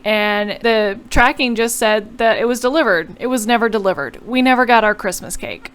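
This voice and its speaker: A woman's voice: fairly high pitch, a bit bright, smooth. A young woman chatting through a journal painting tutorial as a voice-over, explaining each step and apologizing now and then. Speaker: bullet journal creator.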